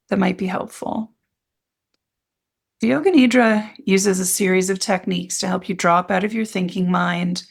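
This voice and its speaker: A woman leading a guided meditation as an instructor, speaking in gentle, soothing phrases with pauses between them. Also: mid-range voice, gravelly.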